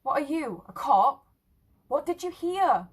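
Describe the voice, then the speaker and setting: A woman's voice: high-pitched, very animated, measured pace, pitched above the performer's own voice. A woman voicing a laid-back, teasing and skeptical teenage girl in a short story, casual and blunt in her slang. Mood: mock annoyed.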